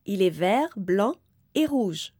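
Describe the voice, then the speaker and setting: A woman reading a children's book aloud slowly and clearly, in careful, evenly spaced phrases. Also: medium pitch, very animated, mellow, faint music behind. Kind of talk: slow, clear read-aloud for young learners.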